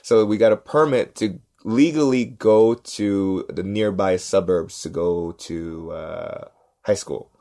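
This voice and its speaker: Low-voiced man telling animated stories. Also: slow pace, vocal fry. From Los Angeles, United States. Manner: animated, acts out quoted voices, repeats "right?". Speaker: podcast cohost, stand-up comedian. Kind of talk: casual storytelling.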